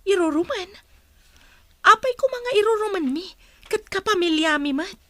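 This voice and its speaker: A woman's voice: very high voice, lively intonation, normal speed, fairly bright tone, no room sound. A woman playing a defensive, exasperated mother in a radio drama, complaining emphatically. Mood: defensive, indignant. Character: defensive, exasperated mother of school-age daughters.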